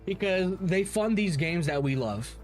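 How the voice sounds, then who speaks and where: A man with a slightly high-pitched voice hosts a casual gaming livestream. He rambles through his opinions and swears freely as he talks to chat. Faint music plays behind him. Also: animated, measured pace. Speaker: livestream host. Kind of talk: casual livestream commentary on games, answering chat.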